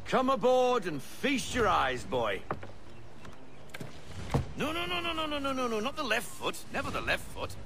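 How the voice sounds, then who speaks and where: Cranky old sailor, gravelly n swings all over the place, salty theatrical game voice, music behind. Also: male voice, very high-pitched, wildly swooping pitch, a little slow, pauses often, background noise, heavily acted. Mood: eager, fussy. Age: older. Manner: theatrical, salty nautical talk. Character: cantankerous, superstitious old sailor who adores his ship. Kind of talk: video game cutscene acting.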